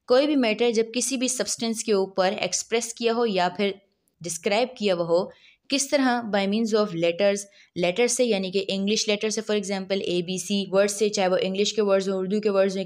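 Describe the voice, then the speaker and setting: A woman giving a recorded law lecture, asking questions and answering them herself, reading legal text slowly before explaining it. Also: medium pitch, darkish tone. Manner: asks and answers her own questions.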